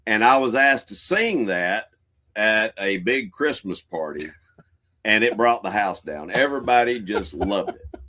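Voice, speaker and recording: A man with a deep voice, co-hosting a casual interview chat, jokey and self-mocking. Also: animated, measured pace, pushed and bright.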